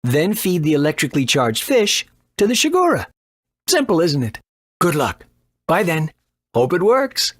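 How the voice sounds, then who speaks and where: An actor playing an eccentric, boastful doctor and inventor in a video game, brusque and quick to scold. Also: male voice, a little high, huge pitch swings, no room sound. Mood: brisk. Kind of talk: animated video game voice acting.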